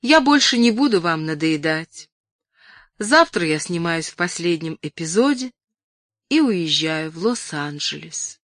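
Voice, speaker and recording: A woman voicing a timid, apologetic young actress with a quiet, trembling little voice, in an audiobook. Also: lowish voice, lively intonation, dry recording, studio quality. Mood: resigned, brave. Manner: quiet, meek, halting.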